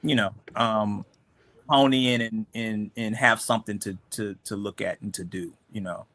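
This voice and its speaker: Comic creator, male, casual host talk full of ums and restarts, tense bright tone, dry mic. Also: lowish voice, fairly even intonation, dry recording. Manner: halting, many ums and restarts. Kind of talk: casual livestream chat.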